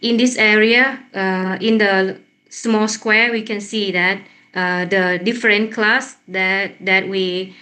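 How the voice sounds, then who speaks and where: Woman, Thai accent, academic conference presenter, halting delivery, repeated words. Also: mid-range voice, fairly even intonation, pushed and bright. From Thailand.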